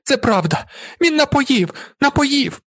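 A man's voice: on the high side, expressive, fast talker, a little mellow, husky, dry recording, voice pushed up. A man voicing a frightened, defensive young woman witness in a dramatized audiobook, unsure and pleading. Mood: desperate.

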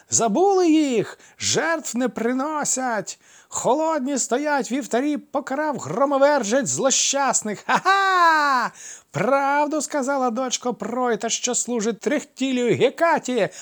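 A man putting on a shrieking mad old crone. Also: exaggerated voice. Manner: shrill, hysterical shrieking. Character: shrieking half-mad old dwarf woman, frantic.

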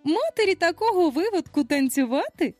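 A woman plays a little storybook girl acting mother, fussing and half-cross but fond. Her high voice swoops through exclamations of mock reproach. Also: sing-song, brisk, a little mellow, no room sound, soft background music.

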